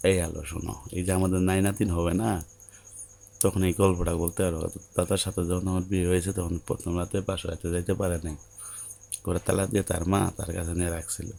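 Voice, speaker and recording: A man acts out a young Bangladeshi-accented bridegroom in a phone-call audio story. His voice is very low, soft-edged and fairly even, as he hesitantly placates his bride out of duty to family. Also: quick pace, creaky. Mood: soothing, reluctant. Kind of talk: Bengali audio story acted as a phone conversation.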